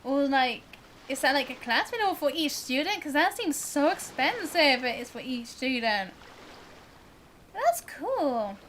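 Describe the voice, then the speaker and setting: A woman chatting casually and excitably while livestreaming a game, in a bubbly, giggly manner. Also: high-pitched, unhurried, a little hiss or hum. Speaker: live streamer. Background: game music.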